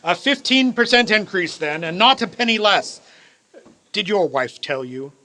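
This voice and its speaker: A man's voice: high-pitched, expressive, unhurried, sharp tone, full character voice. An actor playing an elderly reformed miser in a radio play, grand, formal and emphatic in his delivery. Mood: generous, brisk.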